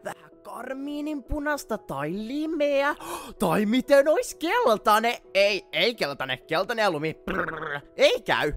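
An excitable, naive little cartoon snowman, played by a woman with a high voice. He chatters in childlike exclamations that swoop wildly up and down, over faint music. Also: hardly any pauses. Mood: bubbly. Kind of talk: animated film fan dub.

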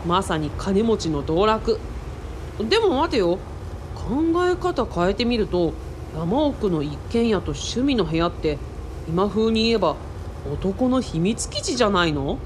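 A woman playing a boyish, excitable young man in a radio drama, speaking casually and exclaiming often. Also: medium pitch, a little slow, slight background noise. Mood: casual, nostalgic. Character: boyish, excitable young male sidekick, Kaoru.

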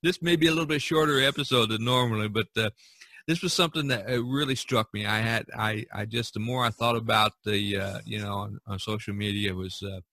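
A man hosts a casual podcast discussion in a bright, forceful voice. He repeats phrases to drive his point home. The sound is dry, with no room around it. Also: on the low side, steady tone, brisk, dry recording. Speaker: podcast host.

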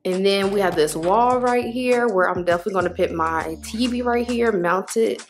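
A young woman gives an excited, chatty tour of her empty new apartment while holding her phone to film it. Her voice is mid-pitched, and it drifts nearer and farther from the phone as she walks between rooms. Clear music plays underneath. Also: normal speed. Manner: gushing, chatty, walking while filming. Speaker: vlogger. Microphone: handheld phone camera.

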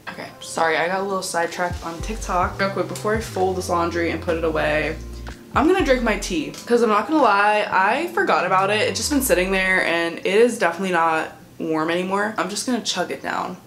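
A woman's voice: mid-range voice, fairly quick, a bit bright, soft background music. A woman in her early twenties chatting frankly to the camera in a casual vlog from her bedroom, swearing now and then. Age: early 20s. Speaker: vlogger. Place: bedroom at home, indoors. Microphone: camera on a stand, she moves around the room.

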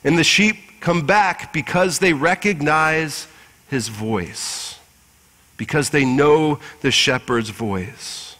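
A man in his forties preaching a sermon as pastor, measured and earnest, with deliberate pauses, in a church sanctuary. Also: fairly high pitch, sharp tone. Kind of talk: Easter Sunday sermon with scripture reading. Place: large church sanctuary with congregation. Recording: reverberant church hall through PA.

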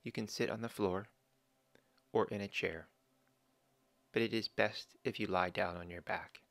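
A man slowly and calmly guiding a body scan meditation, giving gentle step-by-step instructions with long pauses. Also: on the low side, gravelly, dry recording. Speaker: meditation guide.

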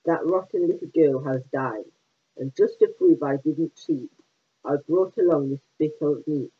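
A man's voice: on the high side, a little slow, breathy edge. A man reading aloud as a sly huntsman humbly reporting to his queen, on a tinny phone-like line. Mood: humble. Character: tough-talking huntsman, grown man, lying to queen.

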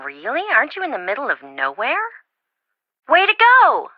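Chatty high-voiced young best friend. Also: female voice, wildly swooping pitch, moderate pace, no echo, lo-fi sound. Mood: taken aback. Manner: rambling, blurting, over-eager. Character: chatty, flighty, well-meaning young female best friend. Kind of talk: video game voice acting.

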